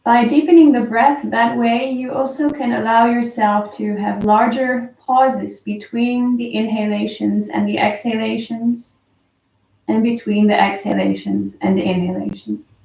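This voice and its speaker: A woman slowly and softly guiding a breathing meditation, calm with long pauses. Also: a little high, gravelly. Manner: slow, soft, calm guidance with long pauses. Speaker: meditation teacher. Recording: roomy, distant, dull lo-fi sound.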